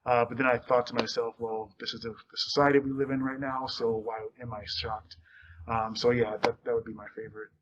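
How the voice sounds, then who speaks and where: A man with a medium-pitched voice reflects casually and a little hesitantly. The recording is dull, with noticeable noise behind him. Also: flat delivery, fairly quick. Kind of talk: casual spoken reflection to a group.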